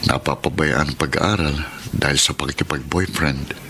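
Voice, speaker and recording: Patient, apologetic young Filipino boyfriend for radio romance; low register, polite, careful delivery. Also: male voice, steady tone, normal speed, bright, forceful tone, heavily acted. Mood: polite, troubled. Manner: placating, hesitant, polite. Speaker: radio drama actor. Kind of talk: Philippine radio romance drama acting. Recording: noisy radio drama broadcast.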